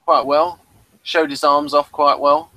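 A man's voice: fairly high pitch, level tone, a little slow, bright, forceful tone, no room sound, low-quality recording. Man chatting in a dry, close sound. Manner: hesitant, rambling, addresses listeners. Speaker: host of a TV review discussion. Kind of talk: casual episode review chat.

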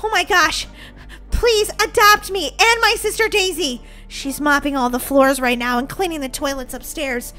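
A woman voicing an excitable, cheeky, sweet little orphan girl in an animated, exclamatory children's story. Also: sits high, normal speed, soft background music. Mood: eager, pleading. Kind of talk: children's pretend-play story acting.

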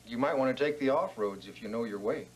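An actor playing an obliging, folksy, plain-spoken motel clerk in a TV-movie drama. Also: male voice, mid-range voice, quick pace, soft background music, close to an everyday voice. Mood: matter-of-fact. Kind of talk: naturalistic TV-movie drama acting.

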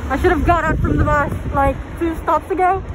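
A woman's voice: sits high, steady tone, a little fast, a loud crowd, poor audio. Chatty young woman vlogging outdoors. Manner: chatty, self-mocking, laughs at herself. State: stuffy runny nose from pollen allergy. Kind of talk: casual vlog chat to camera. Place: outdoors in a sunny city park and streets. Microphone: phone held at arm's length. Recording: loud outdoor street noise.

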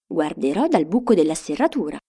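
A woman's voice: fairly low pitch, very animated, fairly quick, dark tone, slightly rough, heavily acted. A woman voicing a curious, lovestruck young prince in a children's storybook, in an earnest manner.

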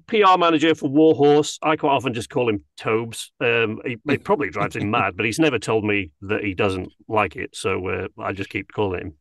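A man in his thirties hosts an interview over a video call, talking in an upbeat, chatty way. His pitch moves a lot as he speaks. He wears a headset in a small home studio with acoustic panels. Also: fairly bright tone, no echo. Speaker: host. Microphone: headset over a cap.

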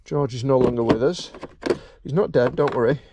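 Middle-aged guy with a gravelly voice explaining boat engine work outside, noisy camera audio, dry jokes. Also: medium pitch, normal speed. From Wales. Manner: chatty, dry humor while working. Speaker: boat mechanic. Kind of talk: hands-on how-to vlog narration. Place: outdoors beside a boat trailer by grassy dunes. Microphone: head-mounted camera mic.

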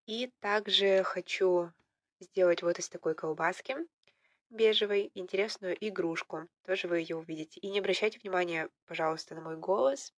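A young woman with a slightly hoarse voice from a cold, casually narrating her clay crafting at home in a relaxed, self-ironic way. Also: fairly low pitch, moderate pace, no room sound, studio quality. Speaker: vlogger, polymer clay crafter. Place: indoors at home, at a table.

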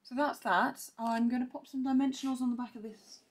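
A woman with a croaky voice, chatting through a step-by-step card-making tutorial and clearing her throat now and then. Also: on the high side, steady tone, brisk, noisy background. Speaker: papercraft demonstrator. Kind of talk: casual step-by-step craft tutorial.

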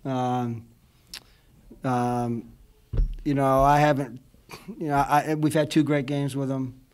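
A man's voice: mid-range voice, little pitch movement, slow pace, smooth. A man in his fifties, a college basketball head coach, speaking at a postgame press conference from a table mic, rambling and heartfelt with many fillers. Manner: rambling, emotional, many fillers like "you know what I mean". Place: indoor press room before a logo backdrop. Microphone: table mic in front of him.

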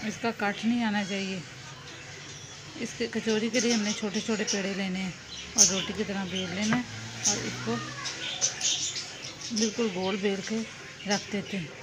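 A woman, calmly explaining a home cooking recipe step by step while she works. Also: medium pitch. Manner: calm, instructive, talks while working with hands. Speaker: home cooking channel host. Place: home kitchen. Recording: loud background noise, birds chirping.

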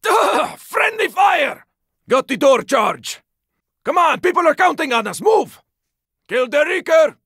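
A man voicing a gritty, battle-weary survivor fighter in a zombie video game, with a raspy, gravelly voice and forceful short callouts. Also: very high-pitched, sing-song, unhurried, dry recording, studio quality, full character voice. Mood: panicked, desperate. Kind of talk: video game combat barks.

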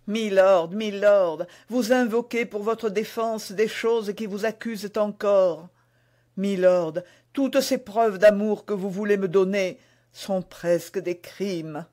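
A man's voice: high voice, takes it slowly, darkish tone, voice pushed up. A man voicing an anguished, dignified queen torn by forbidden love, agitated and imploring, in a historical romance audiobook. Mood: troubled reproach.

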